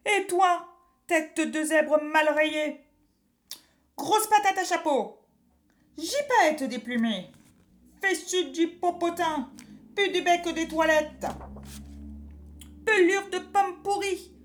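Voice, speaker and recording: A woman voicing a boastful, scolding witch from a children's story, with drawn-out taunts and silly insults. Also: extremely high pitch, pitched above the performer's own voice. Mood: furious, boastful.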